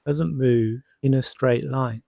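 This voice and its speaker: A man guiding a sleep hypnosis session as a hypnotherapist, soothing and gently reassuring, leaving long pauses between short phrases. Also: mid-range voice, fairly even intonation, gravelly, dry recording. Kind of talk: guided sleep hypnosis narration.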